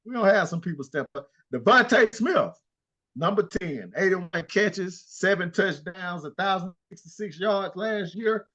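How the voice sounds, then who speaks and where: An older man talking sports with a higher-pitched voice and lots of swagger, reeling off numbers and needling his co-host. The sound is dull and lo-fi. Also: unhurried. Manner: animated, trash-talking, rattles off stats. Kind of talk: casual sports talk.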